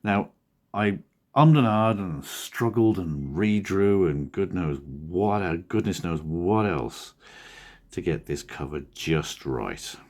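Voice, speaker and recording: A man with a croaky, husky voice from a cold, talking in a rambling, drowsy, self-correcting way as a cartoonist vlogger. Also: low voice, animated, takes it slowly, a little mellow. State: hoarse from a cold. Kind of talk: casual studio vlog talk.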